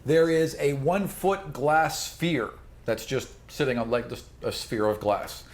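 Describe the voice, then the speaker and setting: Man, game master, tabletop narration, descriptive, informal, off-the-cuff, mid-pitched. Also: expressive, a little slow. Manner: descriptive, informal, off-the-cuff with casual asides and swearing.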